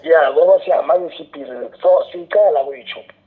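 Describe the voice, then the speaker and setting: A man's voice: fairly high pitch, normal speed. A South African man talking casually as an interview guest, switching between two languages as he goes. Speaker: interview guest, radio drama writer and filmmaker. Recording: narrow phone-like radio sound.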